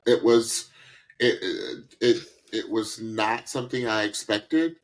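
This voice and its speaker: A middle-aged man speaks in a low voice. He gives casual reaction commentary as a co-host, leaning on repeated words for emphasis. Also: fairly even intonation, slow. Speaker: podcast co-host reviewing a singing show.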